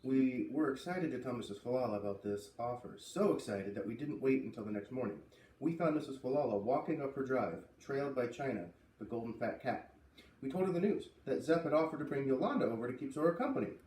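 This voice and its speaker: A man's voice: medium pitch, animated, fairly quick, warm tone. Man reading aloud in an echoey room. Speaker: read-aloud host. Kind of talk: children's book read-aloud narration. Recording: echoey room, distant mic, faint noise.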